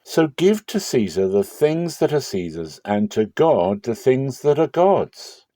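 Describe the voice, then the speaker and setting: A man's voice: mid-range voice, big pitch swings, unhurried, warm tone, dry recording, clean studio sound, voice pushed up. A man voicing a firm, authoritative teacher in a dramatic scripture reading, emphatic and declarative. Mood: firm rebuke.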